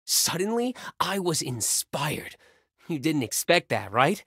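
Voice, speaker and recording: Young male singer, playful, affectionate, boyish, animated intonation, romance game phone-call dialogue, dry recording. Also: fairly high pitch, slow, breathy edge, no room sound, exaggerated voice. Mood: animated storytelling. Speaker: voice actor in a mobile romance game.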